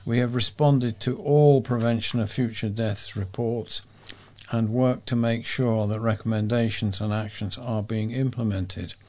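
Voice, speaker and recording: A man's voice: on the low side, darkish tone. A man reading local news items aloud as a volunteer newsreader. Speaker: volunteer newsreader for a talking newspaper.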